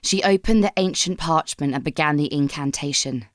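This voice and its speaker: Low-voiced woman narrating dramatic fiction. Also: moderate pace, no room sound, studio quality, soft background music. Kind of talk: scripted dramatic story narration.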